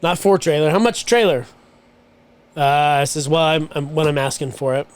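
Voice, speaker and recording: A man's voice: on the high side, normal speed. A man telling a long, rambling story on a podcast, swearing casually. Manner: swearing, rambling anecdote with quoted voices. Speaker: podcast co-host.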